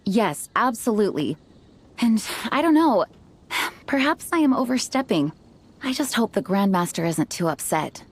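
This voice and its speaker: An actress playing an earnest, modest young knight commander in an anime game, speaking formally and politely. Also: female voice, mid-range voice, sing-song, normal speed, slight background noise. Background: background music.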